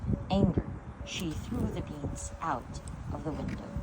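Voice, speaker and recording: A woman reading a children's story aloud, narrating in an expressive, deliberate way. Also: deep voice, heavy background noise. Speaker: storytime reader.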